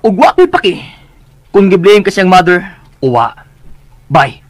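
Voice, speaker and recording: A man's voice: high-pitched, huge pitch swings, measured pace, over loud music, full character voice. An actor with a Visayan Filipino accent playing a callous, sarcastic young man in a radio drama. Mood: dismissive. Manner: cold, sarcastic.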